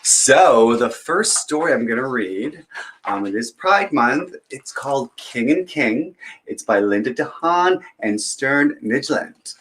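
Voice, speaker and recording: A man hosting a children's storytime, chatting to viewers and reading aloud in an expressive, theatrical way. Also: mid-range voice, slow, a little hiss or hum, rough recording. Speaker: children's storytime host and reader. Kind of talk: chatty introduction and animated picture-book reading aloud.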